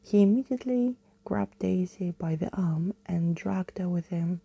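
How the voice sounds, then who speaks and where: A woman reading a storybook and chatting softly as an ASMR host, in a hushed, breathy, soothing whisper close to the microphone. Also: on the low side, measured pace, no echo.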